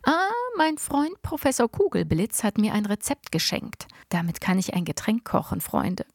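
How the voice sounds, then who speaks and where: A woman voicing a cheerful, eccentric old grandfather inventor, reading him aloud with playful excitement from a children's storybook. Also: mid-range voice, moderate pace, gravelly, dry recording, clean studio sound. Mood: excited.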